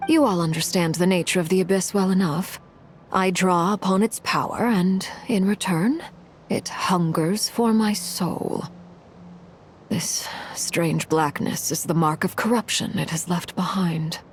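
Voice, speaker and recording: Calm formal game villainess type, lowish woman's voice, measured and controlled, done by a woman. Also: slight background noise, close to an everyday voice. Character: cold, composed noble woman commander. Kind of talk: video game voice acting.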